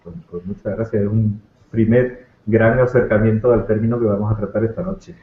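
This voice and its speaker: A man's voice: fairly low pitch, fairly even intonation, moderate pace. A man hosting an online panel, introducing guests in a hesitant way and often restarting his phrases. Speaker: host, moderator. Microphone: video call. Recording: tinny echoey phone-like line.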